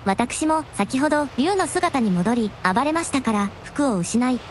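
A gentle young dragon princess with a high voice, played by a woman in a radio drama. She speaks in refined, devoted, very courteous phrases. Some noise sits behind the voice. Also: expressive, unhurried. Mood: reassuring. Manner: refined, deferential, ladylike honorific speech. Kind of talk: Japanese radio drama acting.

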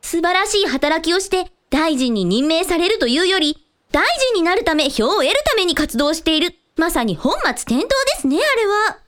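A woman playing a polite, sweet, girlish young female character in a comic radio drama, courteous and gently chiding in manner. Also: very high-pitched, expressive, normal speed. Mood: earnest.

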